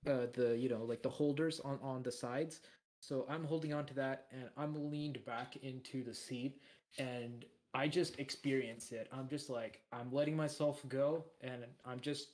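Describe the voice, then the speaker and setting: Deep-voiced guy rambling on a podcast, lots of ums and uhs, middle pitch. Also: darkish tone. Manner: rambling, many fillers and restarts. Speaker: podcast co-host. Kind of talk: casual chat.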